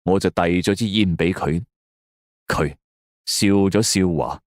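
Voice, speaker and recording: A man's voice: low-pitched, takes it slowly, dry recording, studio quality. A man with a Hong Kong Cantonese accent narrating a scripted suspense story in a theatrical, suspenseful storyteller's manner. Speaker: audio drama narrator. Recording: music bed under the voice. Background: background music.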